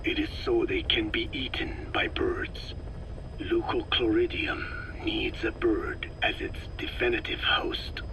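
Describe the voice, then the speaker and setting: An actor playing an elderly, erudite male scientist in a video game, speaking in a breathy rasp with slow, deliberate, grave explanations. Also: lowish voice, fairly even intonation, noisy background. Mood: grave, eerie fascination. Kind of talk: video game audio drama acting.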